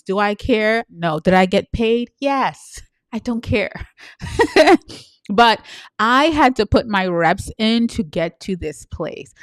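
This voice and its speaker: Woman, Trinidadian accent, livestream coach, energetic and chatty, mid-pitched voice, dry close sound. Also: dry recording. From New York City. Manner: energetic, keeps checking in with "right?" and "guys". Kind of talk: live-streamed coaching talk.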